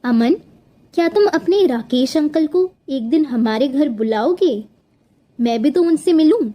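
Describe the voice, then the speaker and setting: A woman playing a caring, gentle mother of a young boy in a Hindi cartoon story. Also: high-pitched, animated, unhurried. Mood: gently curious.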